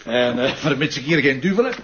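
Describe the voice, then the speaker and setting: An actor playing a restless, stubborn and wry Flemish police commissioner in a crime drama. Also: male voice, mid-range voice, very animated, a little fast, close to an everyday voice. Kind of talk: broadcast crime drama acting. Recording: dull lo-fi sound with hiss.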